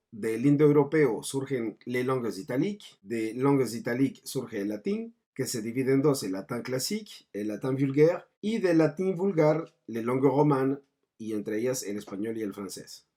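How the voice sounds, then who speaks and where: Man, language teacher, muted mellow tone, husky voice, mid pitch, didactic scripted narration. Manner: didactic, mixing in French terms. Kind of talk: scripted explanatory lesson narration.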